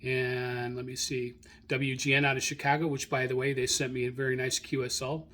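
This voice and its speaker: A man hosting a radio hobby show, informally reading through his logs in a halting, self-correcting way as he searches his notes. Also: mid-range voice, little pitch movement, fairly bright tone. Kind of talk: informal spoken log rundown, unscripted.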